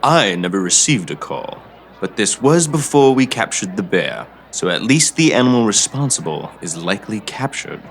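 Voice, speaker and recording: A man acting a self-assured, measured doctor and wildlife expert in an audio drama. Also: on the low side, very animated, fairly bright tone, a little hiss or hum. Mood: smoothly reassuring.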